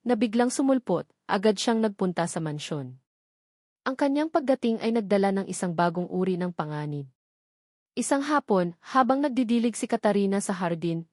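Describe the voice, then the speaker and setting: A woman's voice: medium pitch, darkish tone, dry recording, clean studio sound. A woman narrating a romantic drama in an emotive storytelling manner.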